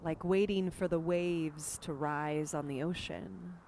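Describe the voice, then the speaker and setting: A woman leading a guided meditation as a teacher, speaking gently and unhurriedly with pauses between phrases. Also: sits low, fairly even intonation, a little hiss or hum. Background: music.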